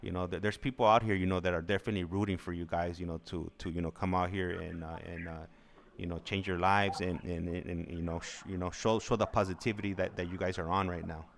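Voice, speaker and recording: A man hosting a casual interview show, speaking in a halting, repetitive, hesitant way. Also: low-pitched, steady tone, gravelly. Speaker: show host. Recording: noisy background with clicks.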